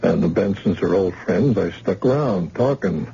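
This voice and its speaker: An actor playing a wounded working-class plumber lying in a hospital bed, speaking weakly and hesitantly in old-time radio drama style. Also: male voice, low-pitched, lively intonation, moderate pace, mellow, full character voice. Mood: weary. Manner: weak, hesitant, gravelly. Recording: dull lo-fi old radio recording.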